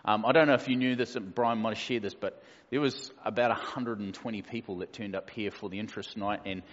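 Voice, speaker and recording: A New Zealand man in his forties speaks as a pastor, in an easygoing, joking way with frequent fillers. His voice sits fairly low. The sound is dull and lo-fi, with long reverb from a church hall. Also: steady tone, fast. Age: 40s. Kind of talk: casual church welcome talk.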